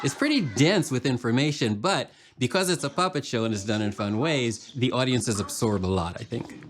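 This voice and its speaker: A man speaking as an artist and puppeteer in a reflective documentary interview, measured and explanatory. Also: mid-range voice, lively intonation, background noise.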